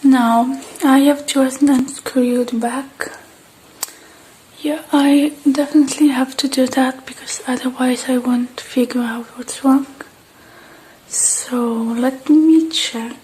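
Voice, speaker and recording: A woman playing a gentle, polite repair technician in a soft-spoken ASMR roleplay, murmuring and sometimes whispering in an unhurried way. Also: high-pitched, fairly even intonation, a little hiss or hum, poor audio. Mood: calm, focused. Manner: soft, unhurried, murmuring to herself while working.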